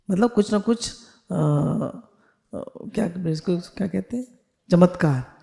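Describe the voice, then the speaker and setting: A woman's voice: fairly low pitch, gravelly, dark tone. A woman spiritual teacher on a video call, leading listeners through affirmations they repeat after her, mixing Hindi with English phrases.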